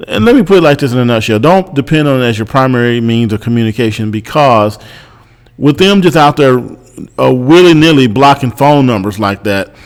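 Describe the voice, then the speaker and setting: An American man talks through his opinions off the cuff, explaining things in a measured way and circling back to repeat points. His pitch is mid-range for a man, and his voice carries a long reverberant tail, as in a hall. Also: smooth. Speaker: host giving consumer commentary. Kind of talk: unscripted opinion commentary.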